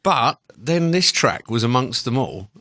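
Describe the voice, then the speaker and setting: A man's voice: medium pitch, lively intonation, a little slow, sharp tone, dry recording. A man hosting a radio show, chatting casually and in a rambling, conversational way as he introduces new music, close on a studio microphone.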